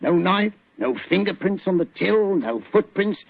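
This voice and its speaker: A man playing a chatty working-class London police inspector with a rough Cockney voice, colloquial and deferential, in 1940s radio drama style. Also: high voice, expressive, moderate pace, full character voice. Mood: animated, confiding. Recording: tinny, echoey old radio recording.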